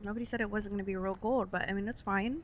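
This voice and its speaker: A young woman with a mid-pitched voice chats as a podcast co-host. She goes through news in an informal, conversational way, hedging and commenting as she reads. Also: fairly even intonation, quick pace. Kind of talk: casual chat, reading out and discussing news.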